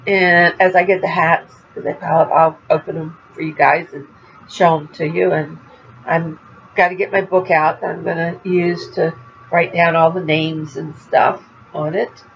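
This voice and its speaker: A woman's voice: fairly low pitch, flat delivery, normal speed, gravelly, muffled recording, slight background noise. An older woman from Florida chatting casually to her viewers as a crafting vlogger, rambling a little, with a stuffy nose and a slight cough. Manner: chatty, rambling, laughs at herself. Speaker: crochet vlogger. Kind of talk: casual chat to camera.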